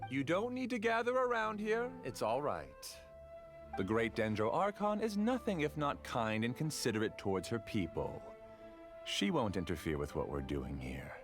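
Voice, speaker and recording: A man voicing an obsessed, grieving male scholar who calmly justifies himself in a game. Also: mid-range voice, moderate pace. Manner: calm, self-justifying. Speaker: game character. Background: game music.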